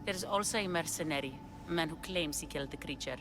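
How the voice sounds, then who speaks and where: A woman's voice: low voice, fast, background noise, close to an everyday voice. An actress playing a grieving young woman longing for her lost lover in a video game cutscene, speaking in an earnest, heartfelt way.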